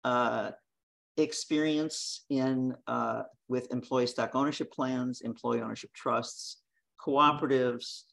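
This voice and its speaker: An older woman, an attorney, introducing herself in a measured, matter-of-fact interview manner. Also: a bass voice, steady tone, creaky. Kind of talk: casual interview.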